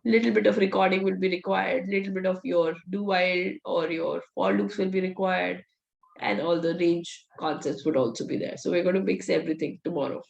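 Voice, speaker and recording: A woman's voice: lowish voice, steady tone, brisk, creaky, muffled recording. A woman trainer, teaching a live coding class with her voice almost gone, direct and coaching. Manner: direct, coaching, laughs often. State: voice nearly gone, hoarse. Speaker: VBA trainer. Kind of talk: live online class, teaching and answering questions.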